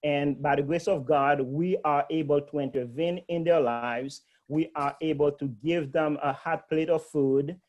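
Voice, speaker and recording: Earnest man raised in Haiti, slightly high voice. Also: fairly even intonation, unhurried, hardly any pauses, a little mellow, lo-fi sound. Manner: earnest, many filler ums and uhs. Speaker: priest. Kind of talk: speaking to a church outreach team.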